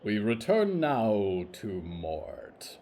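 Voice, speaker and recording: A man's voice: fairly low pitch, wildly swooping pitch, extremely slow, dark tone, slight background noise. A man narrating a scripted fiction story as its storyteller.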